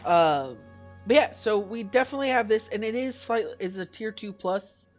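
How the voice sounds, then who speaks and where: A man talks through a survival game with a congested, stuffy voice, as if he has a cold. He wanders through numbers and stats in an easygoing way and often corrects himself mid-sentence. Quiet game music plays in the background. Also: sits high, lively intonation, moderate pace, dull audio. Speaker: let's play host. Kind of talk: casual gameplay commentary.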